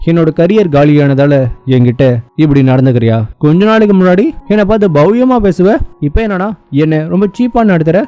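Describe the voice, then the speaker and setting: A man's voice: fairly high pitch, expressive, a little fast, clean tone, natural voice. A man voicing a vain, proud young actress who is indignant and easily flustered, in a story recap. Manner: indignant, melodramatic, self-questioning. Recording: muffled lo-fi sound with music behind.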